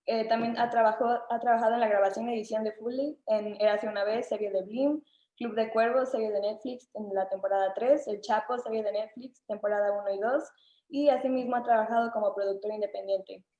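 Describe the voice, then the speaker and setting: A woman's voice: fairly high pitch, steady tone, fairly quick, warm tone. A young woman reading out an introduction as a webinar moderator over a video call. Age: young adult. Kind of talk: read introduction. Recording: echoey, muffled video call audio.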